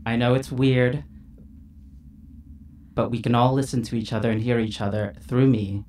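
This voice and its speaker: A man takes part in a tabletop roleplaying game. His voice is medium in pitch, and he talks casually, moving in and out of character. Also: level tone, normal speed, a little mellow, slight background noise.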